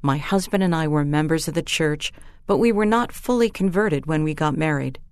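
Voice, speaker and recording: Low-pitched woman reading a story. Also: moderate pace, no room sound, studio quality. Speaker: reader. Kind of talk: scripted first-person story narration.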